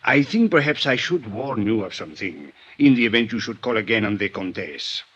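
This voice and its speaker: An actor playing an urbane, sly French police inspector in a 1950s radio drama, suave and wry with ornate politeness and a French accent. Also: male voice, fairly low pitch, sing-song, a little fast, fairly bright tone. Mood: slyly amused. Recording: dull vintage radio recording, slightly muffled.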